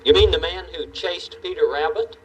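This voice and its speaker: A man's voice: a little high, moderate pace, far from the mic, slight background noise, close to an everyday voice, rough recording. A man playing a friendly, eager, curious puppet neighbor on a children's show, full of folksy exclamations. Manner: folksy exclamations, boyish enthusiasm.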